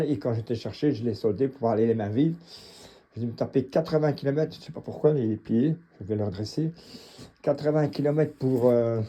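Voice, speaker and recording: Belgian french guy, middle aged, really dull dark husky voice, rambling casual and blunt, kinda stop-start. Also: mid-range voice. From Mons region, Belgium. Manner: halting, repetitive, blunt and colloquial. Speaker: home gym owner and vlogger. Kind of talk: casual unscripted tour talk.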